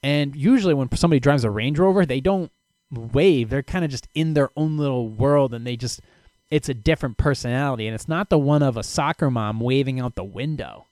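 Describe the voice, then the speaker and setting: A man hosting a casual solo podcast, giving blunt, animated advice on listener questions, with frequent restarts and swearing. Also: mid-range voice, fairly quick, no room sound.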